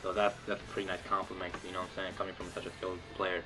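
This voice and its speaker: A man's voice: completely flat delivery, very fast. Rambling young man over faint game music. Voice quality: says he has a deep voice. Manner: rambling, filler-heavy, lots of "like" and "you know". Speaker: gamer commentating his own battle. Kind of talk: casual game commentary.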